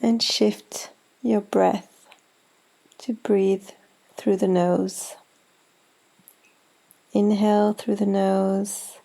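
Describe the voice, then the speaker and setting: A woman leading a guided meditation in a gentle, soothing, unhurried manner with long pauses. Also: medium pitch, flat delivery, vocal fry, poor audio. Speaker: yoga nidra meditation guide.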